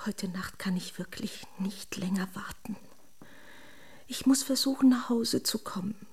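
A woman playing a dainty, homesick princess in a radio play, breathy and often whispering, with quaintly formal, exclaiming speech. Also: mid-range voice, unhurried, a little mellow. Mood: wistful, fussy. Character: runaway storybook princess, dainty, homesick, fussily polite. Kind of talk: German radio drama acting.

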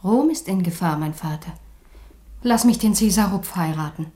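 An actress playing a young Roman princess in a radio play, a solemn, earnest and self-sacrificing daughter. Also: female voice, on the low side, normal speed, dark tone, lightly acted. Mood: pleading, solemn.

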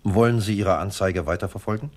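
An actor playing a formal, shrewd police sergeant in a German radio crime comedy, speaking in a measured, polite official manner. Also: male voice, on the low side, a little mellow, natural voice. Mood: formal, reserved. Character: fake police sergeant, composed, formal, shrewd conman accomplice.

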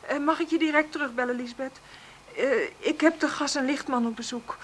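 An actress in a radio drama playing a proud, nervous, indignant mother living on welfare, with a halting, agitated delivery. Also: high voice, close to an everyday voice. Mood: flustered. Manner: halting, agitated, repeats words. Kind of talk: naturalistic Dutch radio drama acting.

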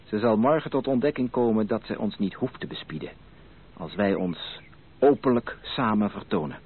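An actor playing an ardent, determined gentleman suitor, emphatic and romantic, in a Dutch radio play. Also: on the low side, moderate pace, slightly rough. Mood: resolute, brisk. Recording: narrow, noisy old radio play recording.

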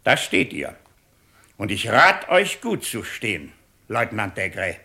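An actor playing a shrewd, pompous, mocking presiding judge in a 1950s radio play. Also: male voice, fairly low pitch, wildly swooping pitch, slow pace, gravelly, sharp tone, husky, heavily acted. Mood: commanding. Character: shrewd, sardonic male presiding judge of a French tribunal.

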